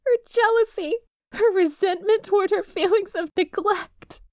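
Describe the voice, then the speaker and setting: Woman acting as high sweet obsessive girl best friend, asmr roleplay, nervous n clingy, super dry close sound. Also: a little slow, no room sound. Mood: strained, resentful. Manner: sweet, nervous, pleading, with giggles and emotional swings. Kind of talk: intimate ASMR roleplay monologue.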